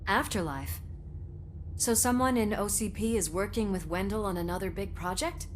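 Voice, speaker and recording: An actress playing a blunt, no-nonsense police officer in a video game. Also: female voice, mid-range voice, normal speed, slight background noise, natural voice. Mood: serious, probing.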